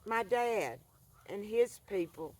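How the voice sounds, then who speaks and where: A woman, talking earnestly to a camera by an outdoor fire pit, soft-spoken and halting. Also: mid-range voice, lively intonation. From rural Appalachian North Carolina. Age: 70s. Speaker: vlogger. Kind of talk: reflective monologue to camera.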